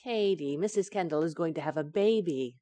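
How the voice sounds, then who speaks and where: A woman's voice: sits low, a little fast, dark tone, no room sound, lightly acted. A woman playing a scatterbrained, coaxing young wife in an old-time radio sitcom, with a breezy, innocent comic delivery. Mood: breezy, confiding.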